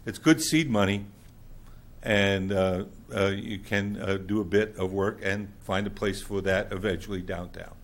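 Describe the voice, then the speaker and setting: Man, loud booming low voice, blunt public commenter at a podium mic. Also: unhurried. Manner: blunt, plainspoken, with many fillers. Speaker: public commenter at a council meeting. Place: council chamber.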